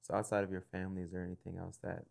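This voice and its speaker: A young man hosting a casual interview, relaxed and thoughtful, often affirming with quick "yeah"s and pausing with fillers. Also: deep voice, vocal fry. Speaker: podcast host.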